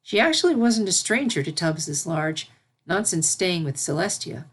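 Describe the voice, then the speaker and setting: A woman's voice: fairly low pitch, big pitch swings, moderate pace, husky. A woman reading a story aloud as its narrator, in scripted storytelling style. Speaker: fan fiction reader and narrator.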